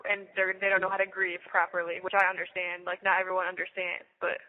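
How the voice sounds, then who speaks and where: Fast-talking young woman on muffled phone. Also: fairly low pitch, flat delivery. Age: young adult. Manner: frequent "like", slangy. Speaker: jail inmate on a phone call. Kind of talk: casual chat. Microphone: telephone. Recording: noisy, dull jail phone line.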